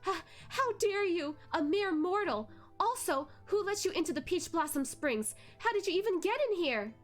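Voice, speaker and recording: A woman voicing a haughty, enraged female immortal elder who spits out her words in shrill indignation. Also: animated, moderate pace, faint music behind. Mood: outraged. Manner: shrill, indignant, spitting out syllables.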